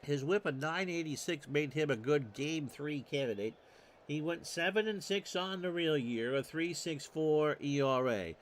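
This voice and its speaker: A man's voice: a little high, measured pace, a little hiss or hum. Folksy man calling a simulated ball game. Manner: folksy and self-correcting, jokes at his own slips. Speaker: host and play-by-play caller of a simulated baseball replay. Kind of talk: solo tabletop sports simulation commentary streamed live.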